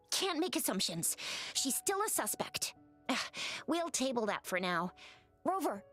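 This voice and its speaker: An actress playing an earnest, eager young patrol officer in a video game, excitable and expressive. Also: female voice, sits high, exaggerated voice. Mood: pondering, dutiful.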